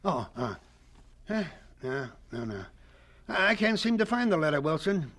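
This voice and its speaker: Husky, flustered, self-important college president. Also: male voice, on the high side, sing-song, measured pace, a bit bright, heavily acted. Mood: boastful, flustered. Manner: hesitant, sputtering, self-important. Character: flustered, proud, stuffy college president, protective of his school. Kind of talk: radio drama acting.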